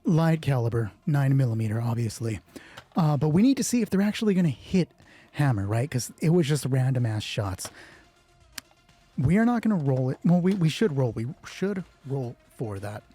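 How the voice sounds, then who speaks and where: A man's voice: medium pitch, very animated, normal speed, dark tone, husky. A man casually narrating an improvised solo roleplay game, thinking aloud and swearing offhandedly.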